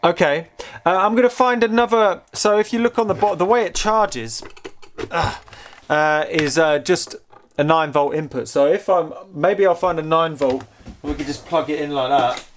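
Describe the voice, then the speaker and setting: A man with a fairly high voice hosts a casual gadget show-and-tell. He speaks off the cuff, halting and repeating words, with curious, easily amused enthusiasm. The recording carries noticeable noise and clicks. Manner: rambling, halting, stammering over repeated words. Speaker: host of a vintage technology channel.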